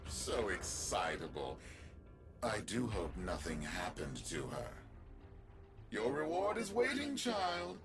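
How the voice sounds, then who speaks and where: A man's voice: fairly low pitch, huge pitch swings, takes it slowly, over music, heavily acted. A male actor playing a greedy, gloating merchant villain in a video game, theatrical and full of mock-sweet menace. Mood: mock concern, enticing.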